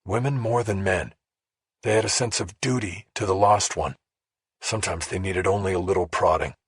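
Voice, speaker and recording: Casting: male narrator for a crime thriller, very raspy, lowish register, measured, close dry sound. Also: no room sound. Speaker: audiobook narrator. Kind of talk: scripted first-person crime thriller narration.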